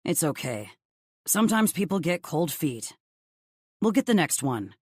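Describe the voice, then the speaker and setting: A woman voicing a confident male billionaire boss in a romance audiobook, calm, deep and suggestive. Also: measured pace, slightly rough, no echo, studio quality, heavily acted.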